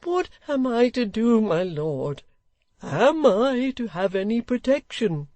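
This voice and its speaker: Woman putting on a slow, high, choleric old gentleman for a storybook; dry close read. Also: sing-song, no room sound. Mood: indignant. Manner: pleading, indignant outbursts.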